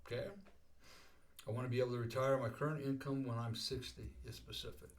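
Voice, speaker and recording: A man's voice: mid-range voice, level tone, fast. A man in his sixties talks through goal-setting as a podcast host. His talk is casual and full of restarts and repeated words, and he keeps checking in with "okay?". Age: 60s. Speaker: life coach and podcast host.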